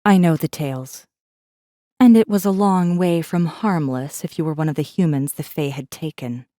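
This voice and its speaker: A woman's voice: deep voice, normal speed, warm tone, no room sound, studio quality, close to an everyday voice. A woman narrating an audiobook in the first person as a guarded, defiant rebel messenger, controlled and wry. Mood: guarded, observant.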